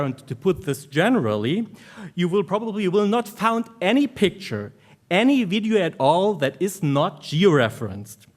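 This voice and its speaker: A man with a German accent giving an academic conference presentation, speaking in halting, informal phrases as a researcher. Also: on the high side.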